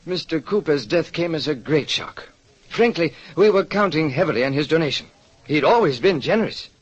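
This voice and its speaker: A man's voice: fairly high pitch, lively intonation, moderate pace, husky. A man voicing an earnest, formal orphanage director in an old radio detective drama. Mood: solemn. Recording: muffled old radio recording.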